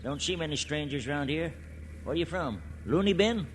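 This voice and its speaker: An actor playing a suspicious old rural American local with a folksy drawl, in 1940s radio style. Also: male voice, on the high side, lively intonation, normal speed, natural voice. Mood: suspicious, warning. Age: older. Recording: noisy vintage radio recording.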